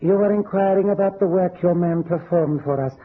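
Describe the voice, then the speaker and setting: An actor playing a courteous, sinister Chinese temple owner in an old radio drama, speaking in measured, formal, over-polite phrases. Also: male voice, high voice. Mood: smoothly polite. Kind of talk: golden-age radio drama acting. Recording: muffled, husky old radio recording.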